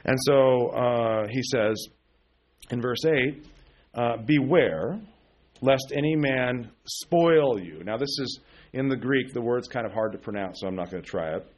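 A man's voice: medium pitch, expressive, measured pace. A man preaching a loose, conversational sermon from the pulpit, full of fillers and restarts, often chuckling at himself. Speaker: pastor. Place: church pulpit.

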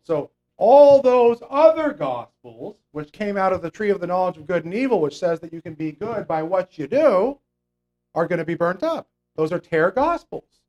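A man's voice: high voice, darkish tone, muffled recording. A man preaching a conversational Bible teaching sermon, explaining scripture and often checking in with "okay?" and fillers. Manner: mocks imagined religious people in a raised voice.

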